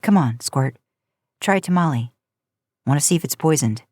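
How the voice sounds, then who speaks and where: A woman's voice: deep voice, lively intonation, moderate pace, darkish tone, no echo, studio quality, pitched below the performer's own voice. A woman voicing a cocky, affectionate young big brother in an audio story, teasing in casual slang.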